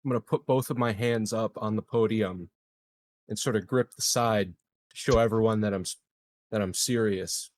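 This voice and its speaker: A man's voice: lowish voice, moderate pace. A man playing a tabletop game, talking casually as one of the players. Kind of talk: casual chat.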